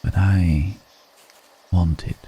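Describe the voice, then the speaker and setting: A man playing an ancient, refined, wistful male vampire in an intimate ASMR roleplay, speaking slowly and formally with measured pauses. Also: low voice, fairly even intonation, warm tone, breathy edge, full character voice. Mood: reflective, grave. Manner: slow, measured, pausing between phrases, formal wording. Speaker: voice actor in an audio roleplay.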